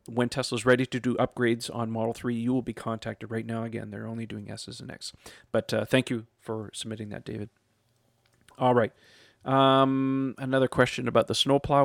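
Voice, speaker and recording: A man's voice: mid-range voice, creaky, fairly bright tone, no room sound. Man, 30s, podcast host; casual and chatty, dry close sound. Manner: chatty, laughs often, frequent fillers. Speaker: podcast host reading listener questions.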